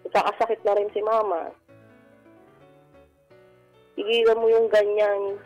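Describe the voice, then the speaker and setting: A woman's voice: mid-range voice, little pitch movement, a little slow, a little mellow, lo-fi sound. A woman calling a radio advice show, speaking in a plain, exasperated, everyday way about personal matters. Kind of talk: personal appeal in everyday speech. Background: music.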